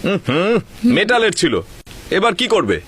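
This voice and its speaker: A man's voice: sits high, big pitch swings, normal speed, a bit bright, a little hiss or hum. A man voicing a fussy, helpless middle-aged father in a cartoon, pleading and grumbling expressively. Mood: impatient. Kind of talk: cartoon dubbing.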